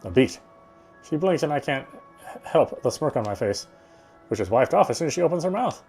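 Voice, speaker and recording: A man's voice: medium pitch, sing-song, a little fast, darkish tone, slightly rough, faint music behind. A man reading visual novel narration aloud, sometimes stumbling and going back over phrases. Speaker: game streamer reading aloud.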